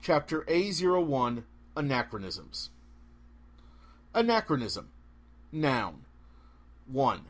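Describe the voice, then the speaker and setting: A man's voice: medium pitch, big pitch swings, slow pace, pauses often. A man narrating scripted fiction as an audiobook reader, with a theatrical, wry delivery.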